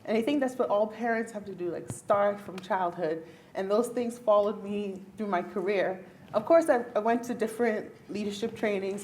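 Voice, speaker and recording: A woman's voice: medium pitch, slight background noise. A woman from the Bahamas, a neurologist, speaking earnestly on a panel at a luncheon and laughing now and then. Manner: earnest, emphatic, laughs often. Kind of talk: panel discussion answer. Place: luncheon event hall.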